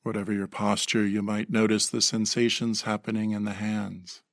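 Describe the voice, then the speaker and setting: A man leading a guided meditation in a gentle, unhurried manner with pauses, recorded close to the microphone. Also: fairly low pitch, level tone, creaky, no echo. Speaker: meditation teacher.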